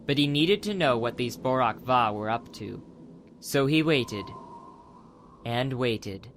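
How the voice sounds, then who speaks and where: A man's voice: medium pitch, fairly bright tone, a little hiss or hum. A man narrating a dramatized adventure audiobook from a script as the storyteller.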